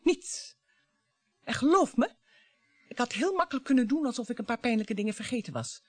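A woman's voice: fairly high pitch, lively intonation, brisk, dry recording. An actress playing a bitter, mocking wife in her fifties in a radio play, with a theatrical way of talking. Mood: wry, confiding. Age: 50s. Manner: theatrical, mocking, swinging between coaxing and scorn. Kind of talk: radio drama acting.

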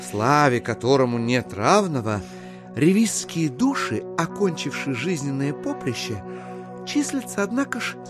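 A slippery, courteous gentleman swindler in an audio play, with a fairly high male voice and very lively intonation. He is florid and evasive, hesitating as he circles his point. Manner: florid, roundabout, hesitant phrasing. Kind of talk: audio drama acting.

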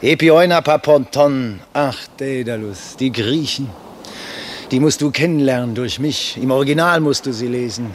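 An actor playing a stout, boisterous young medical student in a theatrical, teasing 1960s German radio drama style. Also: male voice, medium pitch, normal speed, a little hiss or hum, natural voice. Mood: declamatory, teasing. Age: young adult.

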